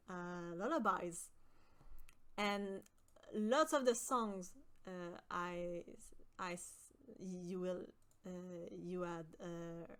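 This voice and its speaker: A young woman from with a high voice, chatting with her stream viewers and often pausing with fillers. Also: smooth. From Quebec. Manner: hesitant, many fillers and restarts. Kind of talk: casual chat with stream viewers.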